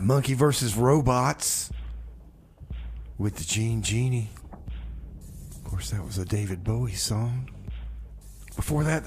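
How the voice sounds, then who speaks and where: A man's voice: mid-range voice, darkish tone, slight background noise. A man hosting a community radio music show, rambling and stammering through his stories. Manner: rambling, stammering, repeats himself. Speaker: radio DJ host. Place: radio studio.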